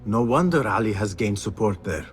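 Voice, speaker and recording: A man's voice: fairly low pitch, lively intonation, moderate pace, close to an everyday voice. An actor playing a determined, inquisitive young male assassin. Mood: resolute. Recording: game audio with music.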